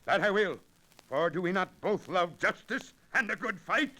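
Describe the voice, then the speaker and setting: An actor playing a hearty, commanding abbot of royal bearing in a 1940s radio drama, stately and assured in archaic speech. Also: male voice, high-pitched, animated, normal speed. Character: hearty fighting abbot, secretly a commanding, kindly king.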